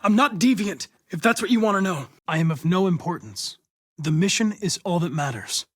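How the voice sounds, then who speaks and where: A polite, determined young male android investigator for a video game. His voice sits fairly high for a man and swings widely in pitch. He speaks in formal, exact, controlled phrases, set on his police mission. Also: lively intonation, moderate pace, husky, no room sound, studio quality. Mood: earnest, formal. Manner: formal, precise, controlled diction.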